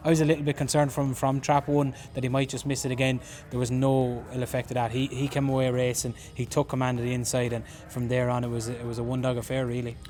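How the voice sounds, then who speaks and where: This Irish man is a racing pundit who speaks in a flat, even tone. His analysis is measured and unhurried, and he often leads into a point with "look". His voice sits at a middle pitch for a man. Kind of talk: race analysis chat.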